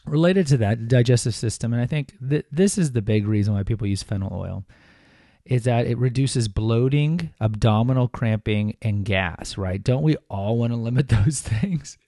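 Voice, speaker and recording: A man's voice: medium pitch, expressive, moderate pace, mellow, dry recording, studio quality. A man hosting a podcast, talking casually and informally, with fillers and self-corrections. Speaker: podcast host. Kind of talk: casual solo podcast talk.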